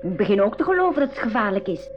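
A woman's voice: medium pitch, big pitch swings, very fast, highs cut off, soft background music, close to an everyday voice. An actress playing a curious, easily shocked young girl in a school radio drama. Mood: alarmed. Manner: wide-eyed, questioning.

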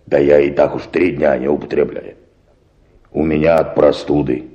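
An actor playing a wordy, obsequious, aggrieved church choir singer in a radio play. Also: male voice, very deep voice, fairly even intonation, moderate pace, highs cut off. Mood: wordy self-excusing. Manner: rambling, clumsily formal, hesitant phrasing.

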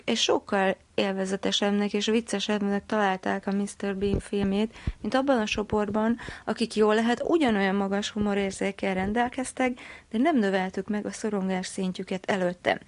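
A woman's voice: mid-range voice, dry recording. A woman, a research psychologist, explaining her study in an interview with careful, academic phrasing.

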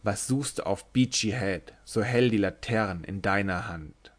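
Brief: a jeering gull of the storm cliffs, sing-song taunts, a man reading it aloud, slow and deep-set. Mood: taunting. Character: mocking laughing seagull over a stormy cliff. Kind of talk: audiobook reading.

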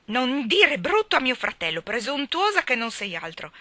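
A woman's voice: very animated, normal speed, pushed and bright, dry recording, heavily acted. A woman voicing a spiteful, gleeful little girl who mocks and mimics in a storybook reading. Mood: indignant, scornful. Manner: taunting, mimicking, shrill.

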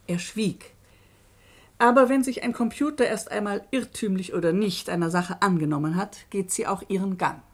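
A woman playing a dryly ironic jurist who narrates and lectures deliberately in a German radio play. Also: mid-range voice, close to an everyday voice. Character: sharp, lecturing female jurist telling a case to students.

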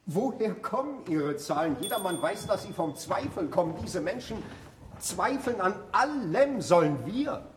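A man's voice: high-pitched, very animated, unhurried. An actor playing a zealous, indignant churchman in a radio play, arguing insistently in an oratorical, emphatic manner. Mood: insistent, grave. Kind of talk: German theatrical radio play acting. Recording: roomy, slightly noisy radio play recording.

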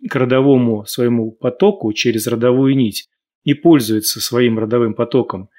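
A man hosts a live radio talk show. His voice sits at an ordinary middle pitch. He explains his ideas in a teaching manner, building his points up with examples. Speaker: radio host.

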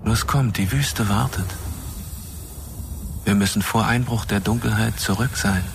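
Over loud ambient noise, a desert guide in a radio play. He gives orders slowly and deliberately, with firm command. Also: on the low side, creaky. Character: commanding male desert guide hurrying tourists along. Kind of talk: radio drama acting.

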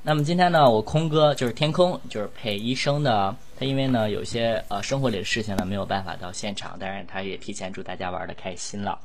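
Low-voiced man hosting a casual chat. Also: fast, creaky, hardly any pauses, slight background noise. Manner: playful, teasing, rambling. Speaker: host and lead voice actor of an audio drama.

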